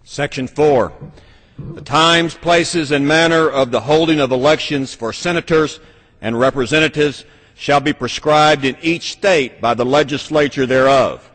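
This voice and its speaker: A man's voice: a little high, a little slow, fairly bright tone. An American congressman reading a legal text aloud in a formal way in a large chamber. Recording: reverberant legislative chamber.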